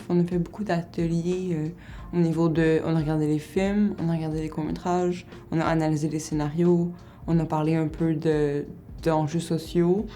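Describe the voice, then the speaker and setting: A woman's voice: lowish voice, mellow. A woman workshop facilitator explaining the process in a matter-of-fact way in a documentary interview.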